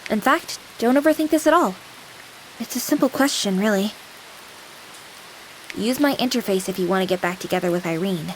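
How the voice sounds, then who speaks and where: A woman's voice: medium pitch, expressive, normal speed, long pauses, a little hiss or hum, natural voice, low-quality recording. A woman playing a young female AI companion with an anime-girl persona in a visual novel game, devoted and formal but playful. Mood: firm. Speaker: game character.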